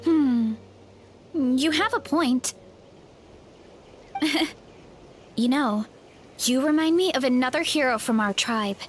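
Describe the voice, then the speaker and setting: A woman voicing a gentle, hesitant young heroine who admits her fears and gathers her courage. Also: high-pitched, takes it slowly, pauses often, soft background music. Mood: admiring.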